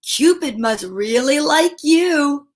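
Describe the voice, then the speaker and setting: Woman playing storybook little boy, enthusiastic, easily pleased, high lively voice. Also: slow pace. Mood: delighted.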